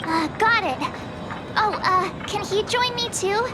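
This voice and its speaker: A woman's voice: very high-pitched, takes it slowly, very noisy. A woman voicing a perky, cheerful and curious young girl adventurer in a video game, chatty and girlish. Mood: nervous, plucky.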